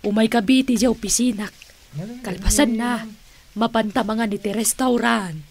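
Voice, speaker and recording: A woman's voice: fairly high pitch, steady tone, normal speed, vocal fry, a crowd in the background. A woman playing a wealthy, playful auntie with a Filipino accent in a radio drama, proud and indulgent. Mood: proudly revealing. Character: wealthy, playful, generous auntie who owns businesses.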